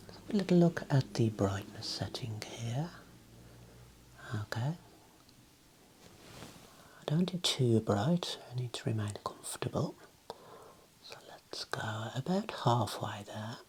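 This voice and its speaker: A man speaking softly and breathily, often dropping into a whisper, playing an eye clinician in a gentle, reassuring ASMR role play. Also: medium pitch, lively intonation, measured pace, long pauses, slight background noise, rough recording. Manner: gentle, procedural, reassuring instructions.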